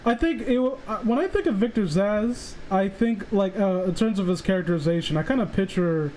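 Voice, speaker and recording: A man hosting a casual livestream chat, rambling excitedly and swearing freely like an enthusiastic fan. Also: high-pitched, a little fast, a little hiss or hum, rough recording. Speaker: livestream host. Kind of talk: casual chat about comic book characters.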